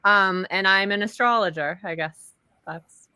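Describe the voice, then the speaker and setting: A woman's voice: medium pitch, unhurried, pushed and bright, clear, resonant tone, no echo. A woman astrologer introducing herself briefly and friendly on a video call. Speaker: astrologer guest. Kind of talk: casual chat.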